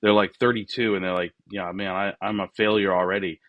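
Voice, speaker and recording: A man of forty-nine talking casually and reflectively as an interview guest, often voicing his remembered thoughts in a halting way. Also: sits low, flat delivery, no echo, muffled recording. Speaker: podcast guest, business founder.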